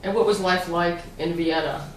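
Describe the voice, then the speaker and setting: A woman conducting an oral history interview, gently prompting and often rephrasing her questions. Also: on the low side, fairly even intonation, moderate pace. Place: room at a community center. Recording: echoey room, distant mic, faint hiss.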